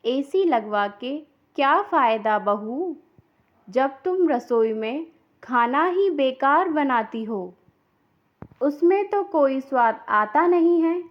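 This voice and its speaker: A woman voicing a reproachful, sharp-tongued older mother-in-law who scolds and taunts in a cartoon moral story. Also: sits high, expressive, takes it slowly, lo-fi sound.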